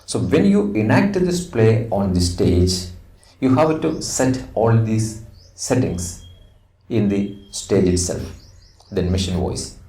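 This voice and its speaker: A middle-aged Indian-accented man speaks in a roomy space, away from the microphone. His voice is low and mellow, with deliberate, emphatic phrasing, as a teacher reading aloud and explaining. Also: sing-song. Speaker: English teacher. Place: indoor room. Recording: roomy echo, distant microphone.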